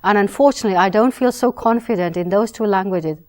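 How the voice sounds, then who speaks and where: A woman novelist from Britain, answering interview questions in a measured, reflective way with some restarts. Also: mid-range voice, darkish tone.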